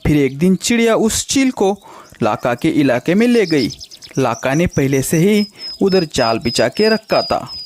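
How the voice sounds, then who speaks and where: A man's voice: on the high side, very animated, measured pace, slight background noise. A man narrating a scripted children's cartoon story as the storyteller.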